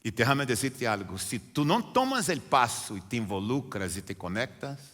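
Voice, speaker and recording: Brazilian-accented pastor preaching with bright force. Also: male voice, sing-song, moderate pace, long reverb tail. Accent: Brazilian Portuguese. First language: Portuguese. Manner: preaching with repetition and swings from loud emphatic lines to quiet low ones. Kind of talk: sermon. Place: church sanctuary.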